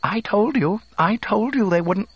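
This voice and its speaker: A man's voice: high-pitched, lively intonation, measured pace, slightly rough, dry recording, pitched above the performer's own voice, full character voice. A man voicing a fussy, anxious golden protocol droid in an audiobook story, prim and fretful in manner. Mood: indignant fretting.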